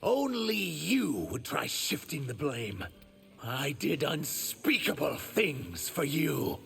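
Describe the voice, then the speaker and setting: An actor playing an earnest, wounded young reptilian shapeshifter warrior in a video game, speaking with guarded defiance. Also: male voice, a little high, big pitch swings, takes it slowly, vocal fry, fairly bright tone, soft background music, exaggerated voice. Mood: bitter, defiant. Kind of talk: video game fight dialogue.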